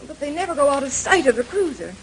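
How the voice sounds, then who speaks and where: An actress playing an anxious, excitable wife in an old radio mystery, fretful and melodramatic. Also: female voice, high-pitched, fast talker. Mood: worried. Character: anxious, excitable wife fearing for her husband. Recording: noisy old radio drama recording.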